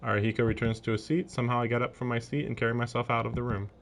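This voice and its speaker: A man with a medium-pitched voice reads visual novel text aloud on a livestream. He slips between narration and casual, amused asides. A faint noise sits in the background. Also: flat delivery, very fast.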